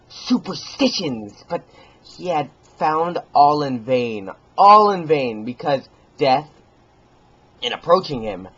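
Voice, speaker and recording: A man voicing a manic madman in a gothic horror story, halting and stammering as he repeats words and swings between hushed and agitated speech. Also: medium pitch, husky, exaggerated voice. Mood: feverish, morbid. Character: manic, stammering madman gleefully confessing his stalking of an old man. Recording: dull lo-fi home recording, faint noise.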